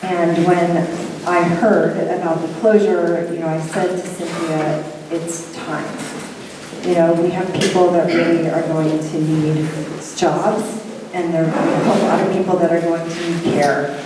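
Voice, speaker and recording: Soft-spoken woman in echoey hall. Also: fairly low pitch, flat delivery, unhurried. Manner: soft-spoken, friendly, hesitant with ums. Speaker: end-of-life doula speaking at public meeting. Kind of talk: public comment. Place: large fairgrounds meeting hall. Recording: echoey hall, distant mic, noisy.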